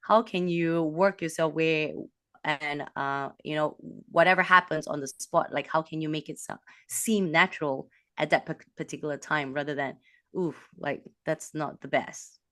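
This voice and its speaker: A woman from a Malaysian background, a business coach, talking in an animated way with quick bursts and restarts. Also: low voice, no echo. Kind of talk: casual interview talk.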